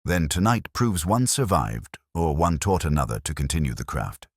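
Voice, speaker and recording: Man acting shrewd Victorian detective, very low voice, grave, terse, commanding, audiobook drama. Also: sing-song, husky, dry recording, clean studio sound, exaggerated voice. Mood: grave, certain. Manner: terse, deliberate, authoritative.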